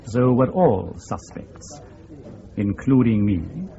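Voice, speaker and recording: A dull-sounding radio drama recording with some background noise. a middle-aged Scottish intelligence boss with a mellow, mid-pitched voice. He is blunt and dryly sardonic, making his points sharply. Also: very animated, takes it slowly, vocal fry, heavily acted. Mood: dry, guarded. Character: blunt, wry Scottish male intelligence section leader.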